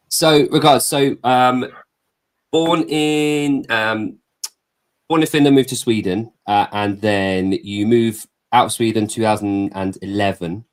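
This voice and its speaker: A man hosting a podcast, relaxed and chatty, prompting his guest with questions and frequent fillers. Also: fairly low pitch, takes it slowly. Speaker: podcast host. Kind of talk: casual interview chat.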